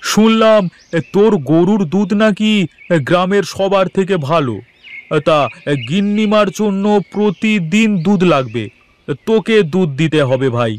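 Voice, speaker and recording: A man's voice: high voice, expressive, measured pace. A man playing a landlord's wheedling, haggling village errand runner in a Bengali cartoon. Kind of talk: Bengali moral cartoon voice acting.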